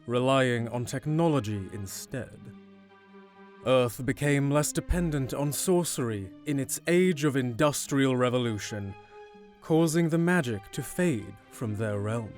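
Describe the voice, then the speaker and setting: A man narrating a scripted fantasy audio drama in a measured, dramatic storyteller manner. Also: mid-range voice, a little mellow. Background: music.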